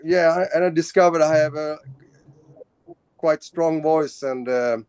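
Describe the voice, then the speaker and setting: A man's voice: on the high side, unhurried, a little mellow, clean tone, no echo. A man from Sweden, a band's singer, answering interview questions casually, with many fillers and restarts. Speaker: band vocalist.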